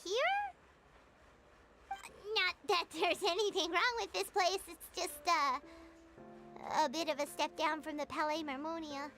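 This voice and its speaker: An actress voicing a tiny, cheeky, excitable floating companion in a squeaky, bouncy voice. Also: female voice, unhurried, pauses often, music in the background. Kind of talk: game voice acting.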